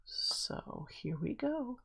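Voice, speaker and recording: A woman's voice: sits high, huge pitch swings, extremely slow, gravelly, a bit bright. A woman teaching a guided reading lesson to children, explaining things simply and repeating key points. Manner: simple explanations with much repetition.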